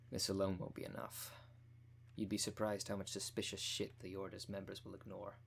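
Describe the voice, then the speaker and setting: Man playing a low-voiced, determined investigator. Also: vocal fry, a little hiss or hum. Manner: direct, earnest, plain-spoken. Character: determined, protective undercover investigator rescuing a parent. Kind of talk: scripted audio roleplay.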